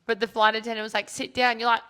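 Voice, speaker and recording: A young Australian woman with a bright, slightly high voice chats casually as a podcast host. She giggles a lot and corrects herself mid-sentence. Her voice sounds a little worn. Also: level tone, quick pace, smooth. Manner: giggly, chatty, self-correcting. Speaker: podcast host and gym founder. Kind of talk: casual chat.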